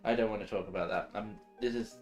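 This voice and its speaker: A man's voice: fairly low pitch, fairly even intonation, quick pace. A tired man chatting casually as a livestream guest, hesitant and often trailing off. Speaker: livestream guest and roommate of the host. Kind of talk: casual chat. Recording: music behind the voice. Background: music.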